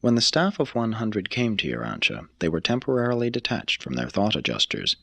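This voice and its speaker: A man reading a religious text aloud as scripted narration, in a solemn, measured, formal manner. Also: fairly low pitch, wildly swooping pitch, bright, forceful tone, husky, dry recording. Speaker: audiobook reader.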